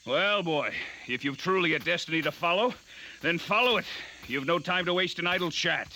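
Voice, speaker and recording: An actor playing a brusque, blunt older companion advising a youth in an animated adventure, gruff and no-nonsense. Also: male voice, fairly high pitch, normal speed, a little hiss or hum, poor audio. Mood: blunt advice. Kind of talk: animated adventure drama acting.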